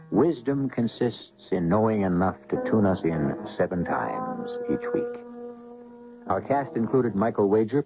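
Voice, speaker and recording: A man hosting a radio mystery drama, narrating in a theatrical, deliberate way. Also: lowish voice, vocal fry. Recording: muffled old radio broadcast. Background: music.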